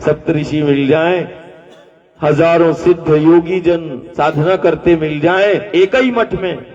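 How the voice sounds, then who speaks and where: Male preacher, slow and rhetorical, repeats key lines and prompts the crowd; distant, dull live recording with long reverb. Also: a little high, a little mellow. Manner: rhetorical, repeating phrases, asking the crowd to repeat after him. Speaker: spiritual teacher. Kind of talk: devotional discourse to a gathering.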